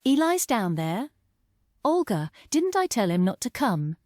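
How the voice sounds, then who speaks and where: A woman's voice: a little high, very animated, unhurried, darkish tone, dry recording, clean studio sound. Woman playing scheming villainess, imperious, grand and theatrical, very varied intonation, stage play reading. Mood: exasperated. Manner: grand, dramatic. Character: scheming, imperious villainess Madeline, sly and menacing. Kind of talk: theatrical play table read.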